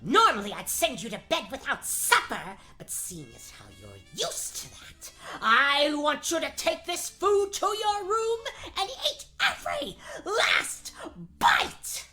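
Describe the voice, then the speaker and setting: Woman playing a shrill, scolding wicked stepmother. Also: sing-song, slow, hardly any pauses, faint music behind, exaggerated voice. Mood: furious. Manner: scolding, exasperated, forceful. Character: wicked, short-tempered nagging stepmother. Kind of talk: comedic fairy-tale radio play.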